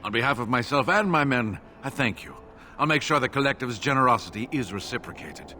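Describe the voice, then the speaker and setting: An actor playing a formal, curt male knight commander in a fantasy video game, speaking with measured courtesy. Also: medium pitch, lively intonation, pushed and bright, slight background noise. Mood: courteous, formal.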